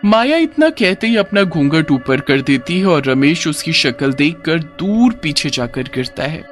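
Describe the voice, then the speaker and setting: A man's voice: a little high, sing-song, a little slow, faint music behind. A man narrating a scripted, dramatic story as a storyteller.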